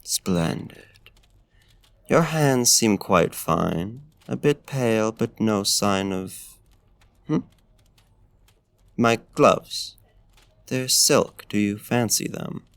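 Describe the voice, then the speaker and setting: Deep, slow, creaky courtly vampire, acted by a man. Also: no echo. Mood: gentle, attentive. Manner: formal, old-fashioned, genteel diction. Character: courtly, smitten male vampire tending a frostbitten guest. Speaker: ASMR voice actor. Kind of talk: ASMR roleplay, close and soft-spoken.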